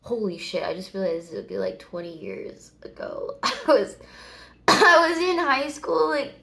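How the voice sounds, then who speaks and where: Woman in her 30s rambling to the camera, kinda gravelly fry voice, laid back. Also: fairly high pitch, big pitch swings, a little slow, fairly bright tone. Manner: chatty, meandering, laughs and swears casually. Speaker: vlogger. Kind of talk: rambling casual chat.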